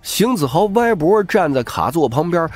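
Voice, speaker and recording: A man's voice: on the high side, big pitch swings, moderate pace, soft background music. A man narrating scripted fiction as a dramatic audiobook reader.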